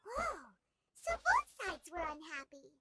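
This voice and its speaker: A woman voicing a small, cheeky, excitable fairy companion in an anime-style video game. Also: very high-pitched, slow, heavy background noise, heavily acted. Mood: astonished. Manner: speaks of self in third person, blunt, exclamatory.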